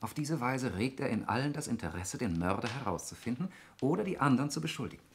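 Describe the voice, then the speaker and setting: An actor playing an irritable, analytical lawyer in a 1960s German radio play, arguing precisely and testily. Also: male voice, medium pitch, very animated, quick pace. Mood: scornful, analytical. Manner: argumentative, precise, testy. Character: irritable, analytical lawyer, brother in a wealthy family. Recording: noisy 1960s radio drama recording.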